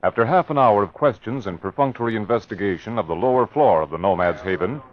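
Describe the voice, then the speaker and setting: A man with a very deep voice narrates an old-time radio adventure, measured and dramatic. The sound is dull and lo-fi, like a vintage broadcast. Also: animated.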